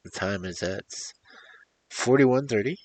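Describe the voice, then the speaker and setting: A man with a gravelly, husky voice hosting a storytelling show, reading fairy tales in a theatrical, emphatic, rhythmic way. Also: lowish voice, a little slow, a little mellow, poor audio. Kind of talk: animated performed fairy-tale reading with casual asides.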